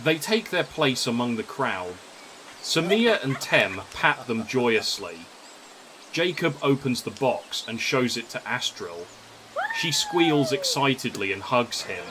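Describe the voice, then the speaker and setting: A man's voice: medium pitch, wildly swooping pitch, slow, background noise. A man reading scripted story narration. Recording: music under the voice.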